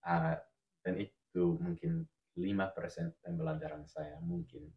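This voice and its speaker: A man's voice: low voice, big pitch swings, creaky, echoey room, narrow, tinny audio. A man in his twenties, a native English speaker with a cold, chatting carefully on a podcast as co-host. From Australia. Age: 20s. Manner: careful, deliberate speech as a learner. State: has a cold. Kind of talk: casual podcast chat.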